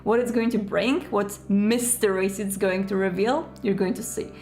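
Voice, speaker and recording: A woman's voice: medium pitch, steady tone, normal speed, a little mellow, soft background music. A woman hosting a show, speaking directly to viewers in a presenter's style. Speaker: host.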